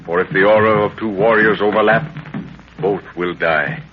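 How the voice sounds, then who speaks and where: A man narrating a jungle adventure serial as its announcer, in a portentous, deliberate, theatrical 1930s radio style. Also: on the low side, natural voice. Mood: solemn, ominous. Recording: muffled, noisy 1930s radio recording.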